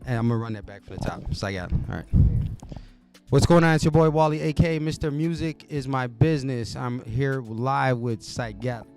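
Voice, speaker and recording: A man's voice: mid-range voice, normal speed, a little mellow, soft background music. Upbeat host man, slangy. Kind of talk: casual interview. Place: studio.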